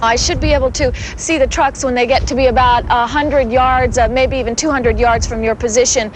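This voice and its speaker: A woman's voice: on the high side, steady tone, fairly quick, a little hiss or hum, natural voice, low-quality recording. An actress playing an alert female TV reporter giving careful, factual live updates in a news-bulletin drama. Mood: attentive. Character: alert female TV reporter watching monitors remotely.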